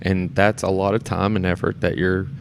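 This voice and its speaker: A man with a deep, low-pitched voice talks as a guest on a podcast. His delivery is informal and earnest, like a relaxed conversation. Background noise is clearly audible in the room. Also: moderate pace. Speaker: podcast guest, theater performer and board member. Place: shared studio space in a theater.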